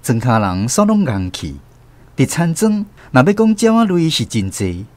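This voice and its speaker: A man's voice: mid-range voice, sing-song, moderate pace, a little mellow, dry recording, lightly acted. A man with a Taiwanese accent playing a storyteller who recalls his village childhood in a radio drama. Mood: reminiscent, animated storytelling.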